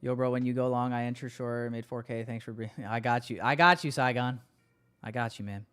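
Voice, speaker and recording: Male streamer, mid-pitched and clean-toned, casual and jokey slang with some swearing, talking to his chat. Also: steady tone, brisk, a bit bright, clean tone. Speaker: livestream host. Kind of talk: casual livestream chat with viewers.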